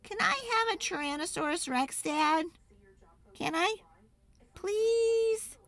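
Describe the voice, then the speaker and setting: A woman voicing an eager, pleading young child in an excitable, dramatic storybook read-aloud. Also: very high voice, pitched above the performer's own voice, heavily acted. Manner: wheedling, excitable, dramatic, drawn-out emphasis.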